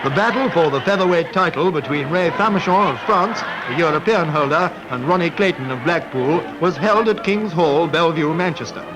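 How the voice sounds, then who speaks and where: A man's voice: fairly high pitch, animated, fairly quick, hardly any pauses, low-quality recording. A man with a Received Pronunciation British accent delivers formal, clipped, scripted sports commentary in 1940s newsreel style. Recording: vintage 1940s film soundtrack, loud music. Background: loud background music.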